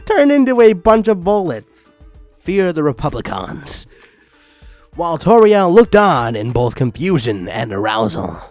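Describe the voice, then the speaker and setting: A man's voice: on the high side, a little mellow, no room sound. A man reading a story aloud as comic narration, incredulous and breaking off for asides, with music behind him. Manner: incredulous, often breaking from the script. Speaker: fan fiction reader.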